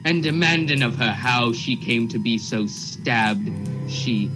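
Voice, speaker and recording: A man's voice: mid-range voice, expressive, slow pace, over music, rough recording. A man playing an earnest, officious court officer with a rustic, formal manner in a radio play. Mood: alarmed. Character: Cheever, earnest, officious male court officer serving warrants.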